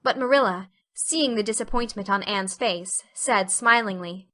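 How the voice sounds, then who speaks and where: A woman's voice: mid-range voice, moderate pace, pushed and bright. A woman reading scripted audiobook narration as a storyteller.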